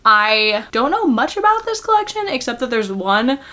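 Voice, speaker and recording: A woman's voice: on the high side, big pitch swings, moderate pace, sharp tone. A young adult woman chatting casually to viewers as a book vlogger, gushing and giggly, with lots of filler words. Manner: gushing, informal, filler-heavy with frequent "like".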